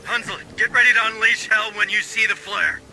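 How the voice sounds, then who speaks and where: A very forceful, raised male voice as a gruff marine leader commanding a squad. It is combat dialogue from a game, with faint music. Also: high-pitched, steady tone, a little fast, full character voice, rough recording.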